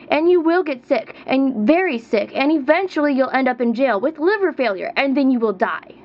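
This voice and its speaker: A young woman in her twenties vents at the camera, her voice high and insistent as she repeats her points with rising pitch. The sound is dull and lo-fi, like a cheap webcam mic. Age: 20s. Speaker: vlogger. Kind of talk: heated rant to camera.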